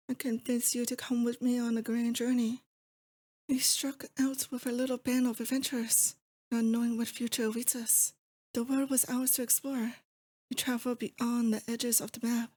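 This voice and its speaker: A woman playing a possessive, affectionate demon lord in an intimate ASMR roleplay, speaking to her captive sweetheart in a doting, wistful, unhurried way. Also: fairly high pitch, warm tone, natural voice. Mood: nostalgic, bittersweet. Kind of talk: roleplay ASMR, intimate scripted monologue to the listener.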